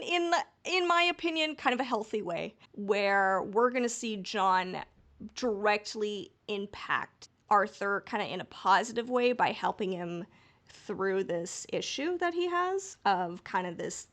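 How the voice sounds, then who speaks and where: A woman's voice: mid-range voice, fairly bright tone. A woman co-hosting a podcast, talking casually and analytically, thinking aloud with frequent asides. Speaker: podcast co-host. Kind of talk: casual podcast discussion, analysis of a fiction story.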